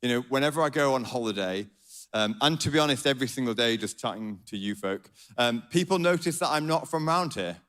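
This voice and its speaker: A man with a Yorkshire accent preaching a sermon at a church gathering, earnest and often dropping to a hushed, intimate tone. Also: medium pitch, animated, brisk.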